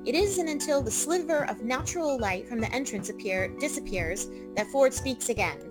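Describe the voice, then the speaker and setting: A woman's voice: high voice, lively intonation, normal speed. A woman reading scripted second-person narration for a story game. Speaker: narrator and player character reader. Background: music.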